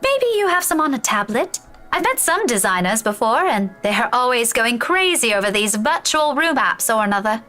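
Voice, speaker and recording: Lively British schoolteacher, rolled R's. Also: female voice, on the high side, moderate pace. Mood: friendly, curious. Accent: British, rolled Scottish R's. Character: friendly young woman schoolteacher, playful and curious. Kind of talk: visual novel voice acting. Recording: music behind game dialogue.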